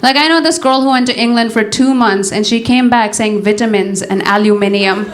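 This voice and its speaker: Young woman doing stand-up, deadpan, a bit high, live club mic with some noise. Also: a little fast. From Nepal. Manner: deadpan delivery with frequent "you know?" and "all right?" tags. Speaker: stand-up comedian. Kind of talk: stand-up comedy set. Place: comedy club stage with laughing audience.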